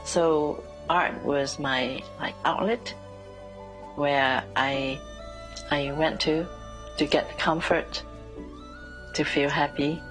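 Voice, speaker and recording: A woman from Vietnam speaks very slowly and haltingly, repeating words as she goes. Her voice sits very low, with soft music faintly beneath. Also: steady tone, poor audio. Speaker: painter. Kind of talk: interview.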